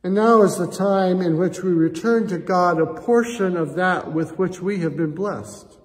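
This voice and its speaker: Older man, American accent, pastor, higher-pitched voice, slow solemn delivery, church reverb. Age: 60s or older. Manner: solemn, measured, earnest. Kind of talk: church prayer and offering appeal. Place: church sanctuary, indoors. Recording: reverberant church hall echo.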